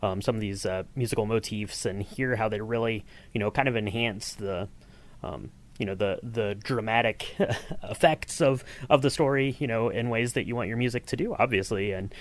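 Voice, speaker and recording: Man, lowish pitch, podcast interviewer, informal conversational delivery. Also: normal speed.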